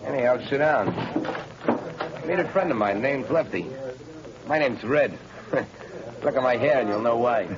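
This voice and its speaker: A man playing a gruff, menacing gangster in a 1930s radio drama, blunt and tough-talking. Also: medium pitch, lively intonation, normal speed, long pauses, crowd noise, lightly acted. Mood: sly. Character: gruff red-haired gangster Red. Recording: dull old radio recording with hiss.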